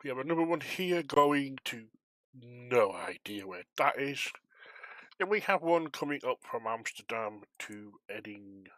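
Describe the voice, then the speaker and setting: A man's voice: medium pitch, animated, measured pace, fairly bright tone, no echo. A man chatting casually on a flight simulation stream, rambling and self-deprecating as he talks to viewers while controlling air traffic.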